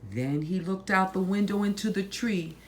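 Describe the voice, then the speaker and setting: A woman reading a picture book aloud to children, phrasing deliberately and stressing words for drama. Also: medium pitch, rough recording. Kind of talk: slow, expressive picture-book read-aloud.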